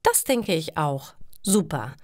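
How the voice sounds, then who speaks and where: A woman voicing a polite, helpful female bank clerk in slow, formal storybook dialogue. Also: deep voice, big pitch swings, no room sound, studio quality, full character voice.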